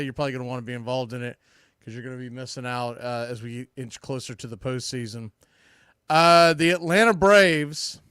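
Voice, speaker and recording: Emphatic man hosting a sports podcast, clear voice. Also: fairly high pitch, fairly bright tone, no room sound, clean studio sound. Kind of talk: opinionated baseball commentary.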